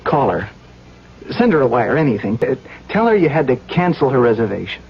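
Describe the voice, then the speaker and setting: An actor playing an anxious, desperate young engineer in a 1970s radio drama, halting and pleading as he speaks. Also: mid-range voice, big pitch swings, gravelly, slightly rough, exaggerated voice. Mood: desperate, insistent. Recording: dull, noisy old radio recording.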